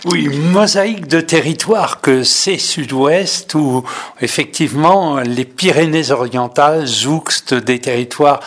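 Male interviewer, slightly high voice, unhurried, elaborate, formal questions. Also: fairly bright tone. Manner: measured, elaborate phrasing. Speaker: radio interviewer.

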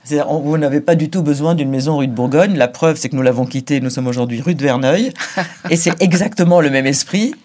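A man speaking as an interview guest on a talk show. His delivery is emphatic and forceful, and short laughs punctuate his points. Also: fairly high pitch, a little fast. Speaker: guest, school figure.

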